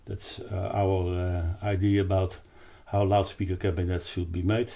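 An older man with a Dutch accent explains a product off the cuff, haltingly searching for words. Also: sits low, little pitch movement, gravelly, dark tone. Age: 60s or older. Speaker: loudspeaker designer presenting his product. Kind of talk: unscripted explanatory product talk. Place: carpeted, wood-panelled listening room indoors.

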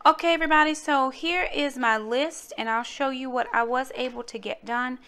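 A woman's voice: sits high, steady tone, moderate pace, over music. Casual woman narrating a home vlog. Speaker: home cooking vlogger.

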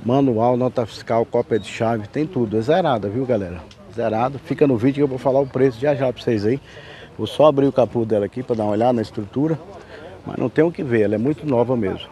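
A man from Brazil giving a folksy, chatty sales pitch while filming cars at an outdoor car fair on a handheld camera. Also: fast talker, dark tone. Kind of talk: walkaround sales vlog. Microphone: handheld camera mic, filming.

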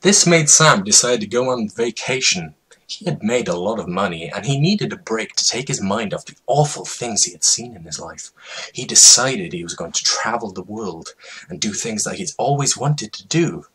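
A man with a husky voice giving a mock-dramatic, emphatic comedic reading of a story as its narrator. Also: lowish voice, lively intonation, normal speed, fairly bright tone, poor audio.

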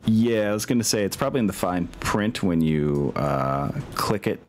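A man's voice: sits low, lively intonation, moderate pace, soft background music. A man acting as game master, casually running an online tabletop game.

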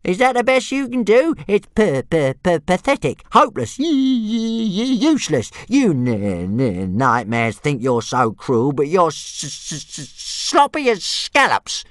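A man's voice: fairly high pitch, huge pitch swings, a little slow, hardly any pauses, dry recording, clean studio sound, voice pushed up. A man voicing a cheeky, grumpy little dragon who stutters and drags out taunting words in an audiobook. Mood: jeering. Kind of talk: audiobook character voice.

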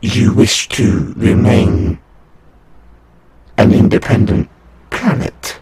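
A man voicing a condescending alien angel envoy in an audio roleplay, speaking in a halting, solemn, formal way with a breathy, husky voice. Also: deep voice, big pitch swings, a little mellow, heavily acted. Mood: grave, persuasive. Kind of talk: scripted audio roleplay monologue.

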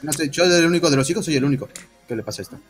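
An Argentine man calling into a stream's voice chat. He talks in a relaxed, joking way. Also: on the high side, rapid-fire, soft background music. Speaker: caller guest. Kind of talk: casual voice-chat talk.

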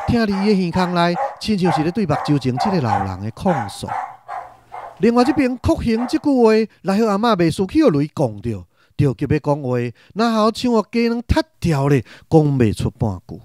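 A man with a Taiwanese accent narrating a radio drama in an expressive, literary storytelling style. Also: sits high.

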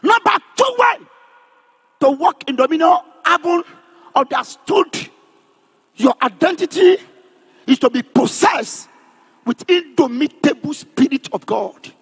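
High-pitched, emphatic male preacher. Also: animated, a little slow, pauses often. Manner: emphatic, declamatory, often shouting; repeats phrases for emphasis. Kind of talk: charismatic sermon with call and response.

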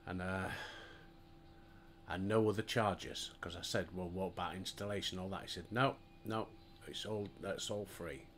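A man's voice: deep voice, fairly even intonation, moderate pace, fairly bright tone, a little hiss or hum. An older man with a Yorkshire accent, chatting casually to himself and his viewers while playing a game, recorded on a headset mic at home. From Yorkshire, England. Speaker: gaming streamer. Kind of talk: relaxed gameplay commentary.

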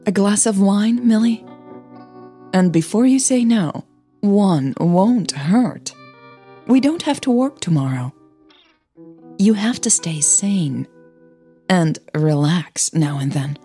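A woman voicing a confident, elegant female singer with a relaxed, persuasive manner in an audio drama. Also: mid-range voice, slow, pauses often, soft background music. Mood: coaxing.

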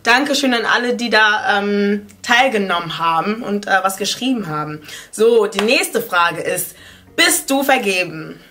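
Casting: woman in her twenties, lifestyle vlogger, bright and chatty, playful asides, talking to camera from her living room. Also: medium pitch, lively intonation, measured pace, a little hiss or hum. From Ghana. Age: 20s. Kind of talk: casual chat to camera. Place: living room at home, indoors.

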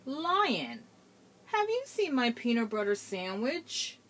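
Lady doing a fretful forgetful rhino from a kids storybook, slow and expressive, voice goes up and down a lot. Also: on the high side, a little hiss or hum, voice pushed up, heavily acted. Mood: puzzled. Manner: deliberate, expressive children's storybook delivery. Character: forgetful, fretful rhinoceros searching for his lunch.

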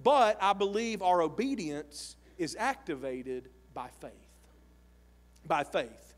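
A man in his forties preaching a sermon on a church stage into a handheld mic, animated and emphatic, rising to shouts. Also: high voice, takes it slowly. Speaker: pastor. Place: large church sanctuary stage with audience. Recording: long hall reverb.

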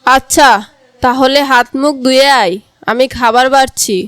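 A woman's voice: high-pitched, steady tone, moderate pace, fairly bright tone, smooth, no echo, faint music behind. A woman voicing a village mother who questions her child in a cartoon fairy tale. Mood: obliging.

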